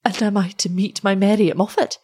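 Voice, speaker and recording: A woman voicing an excitable, tender old shepherd in a story, with a Scottish accent and broad, exclamatory dialect speech. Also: medium pitch, a little fast, lightly acted. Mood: joyful, welcoming.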